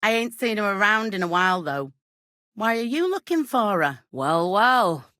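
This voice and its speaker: An actress playing a brusque, gossipy medieval innkeeper in a video game, speaking in earthy, colloquial rustic phrases with folksy idioms. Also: female voice, medium pitch, very animated, moderate pace, pushed and bright, no room sound, studio quality. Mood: matter-of-fact, brisk. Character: brusque, gossipy medieval village innkeeper woman, plain-spoken, superstitious. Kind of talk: video game character dialogue.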